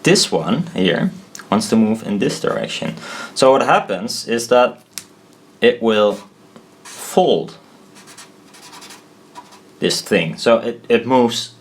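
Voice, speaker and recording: A man giving an informal technical tutorial as he draws, halting, thinking aloud and correcting himself as he goes. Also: lowish voice. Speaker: presenter of a hobbyist explainer video.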